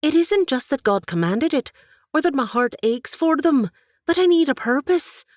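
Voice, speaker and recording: A woman's voice: a little high, very animated, a little slow, no echo, studio quality, voice pushed up. A woman voicing an earnest, stubborn young woman who speaks with rising passion. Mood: impassioned. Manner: emotional, raised voice.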